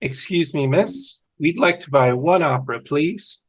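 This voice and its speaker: A man's voice: a little high, measured pace, husky. A man playing a cheerful, dim-witted young man in a scripted comedy read over a video call. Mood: earnest, oblivious. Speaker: fan table-read actor.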